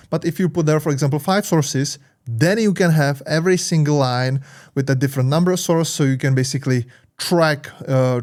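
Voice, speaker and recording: Man with a Czech accent, halting delivery. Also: fairly high pitch, darkish tone. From Czech Republic. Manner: halting, many fillers and restarts; enthusiastic. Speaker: tech channel host. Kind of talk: tutorial walkthrough, casual.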